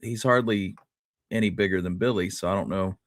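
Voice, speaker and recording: A man co-hosting a show, chatting casually and thinking aloud in a halting way. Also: sits low, no echo, studio quality. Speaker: show co-host. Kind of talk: casual conversational commentary.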